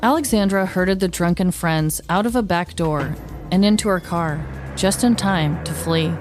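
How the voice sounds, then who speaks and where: A woman's voice: on the low side, moderate pace, over music. A woman podcast host narrating a scripted comedic story.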